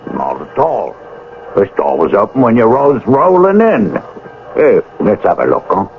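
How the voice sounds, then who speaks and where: Low-voiced, inquisitive station man. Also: huge pitch swings, measured pace, exaggerated voice. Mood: curious, concerned. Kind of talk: radio drama acting. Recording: dull, noisy old radio drama recording.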